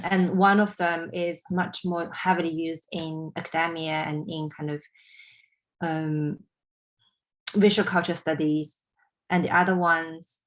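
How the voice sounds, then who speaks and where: Woman translator, talks real slow, lowish voice, lots of ums. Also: low-quality recording. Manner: hesitant, searching for words, many fillers. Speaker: translator and artist. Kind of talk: online panel discussion.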